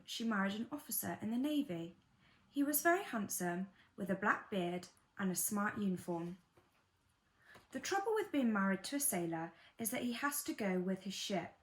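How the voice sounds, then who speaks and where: A woman reading a children's bedtime story aloud in an expressive storytelling manner, with gentle delivery and dramatic pauses. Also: mid-range voice. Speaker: storybook reader.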